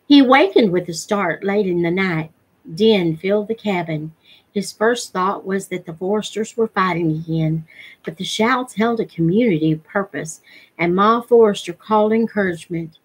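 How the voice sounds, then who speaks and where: A woman's voice: medium pitch, husky, poor audio. A middle-aged woman reading a novel aloud as a storyteller at home. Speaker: reader of a novel read-along. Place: living room at home, indoors.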